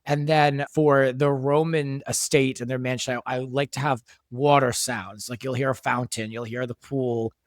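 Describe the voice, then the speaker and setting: A man's voice: medium pitch, fairly even intonation, normal speed, few pauses, dry recording, studio quality. A man speaking as a creator and podcast guest, explaining his work conversationally in a casual discussion. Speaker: audio drama creator and guest.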